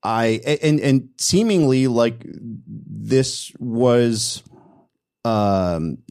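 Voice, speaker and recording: A man's voice: medium pitch, expressive, drawn-out words, gravelly, darkish tone. A man hosting a podcast in casual chat, enthusiastic, often stammering and restarting his sentences. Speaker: podcast host.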